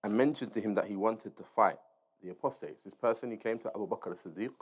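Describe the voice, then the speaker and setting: A man's voice: lowish voice, fast, husky. A man teaching an informal Islamic lesson, reading aloud and explaining as he goes, checking in with his listeners. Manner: explanatory, checks in with listeners using short tags. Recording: dull, lo-fi sound.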